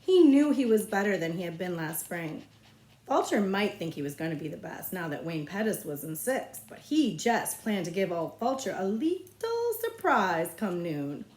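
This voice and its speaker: A woman reading a children's novel aloud as a librarian, with expressive, measured storytelling phrasing. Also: on the low side, reverberant, poor audio.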